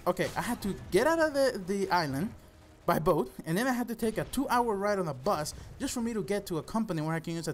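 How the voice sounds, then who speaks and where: A young man with a Salvadoran Spanish accent speaks in a fairly high voice. He talks casually and earnestly, like a gaming host sharing personal stories with his viewers. A faint layer of noise sits behind his words. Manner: earnest, addresses listeners as "guys". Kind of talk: casual personal storytelling over gameplay commentary.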